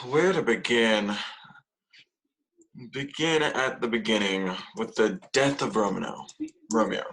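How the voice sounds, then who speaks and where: A man's voice: fairly low pitch, slow pace, long pauses, fairly bright tone, rough recording. A man playing a devious, theatrical young gossip confiding to camera in a comedic confessional script. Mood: gossipy.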